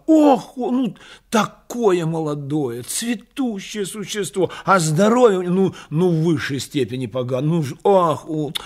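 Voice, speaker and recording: A man voicing a bribed doctor in a satirical story, halting and full of exaggerated, sighing exclamations of false alarm. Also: high-pitched.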